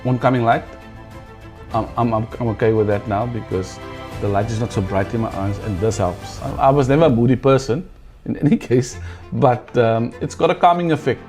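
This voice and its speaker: A man of fifty-eight giving a testimonial in an earnest, plain-spoken way, halting and repeating words. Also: medium pitch, a little mellow, soft background music. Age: 50s. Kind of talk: testimonial interview.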